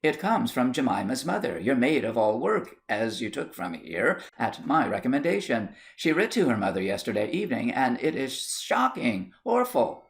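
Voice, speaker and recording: Man doing a gossipy, high-swooping old aunt. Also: sing-song, normal speed, hardly any pauses. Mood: scandalized. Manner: rambling, homely, dramatic. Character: gossipy, dramatic old aunt in a comic story.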